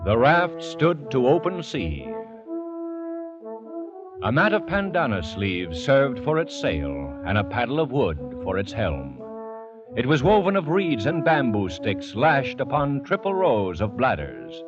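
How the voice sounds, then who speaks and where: A man narrating an adventure story as a grave, measured, ominous storyteller in an old radio drama. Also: mid-range voice, huge pitch swings. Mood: grave, dramatic. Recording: dull vintage radio recording. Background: orchestral music with brass.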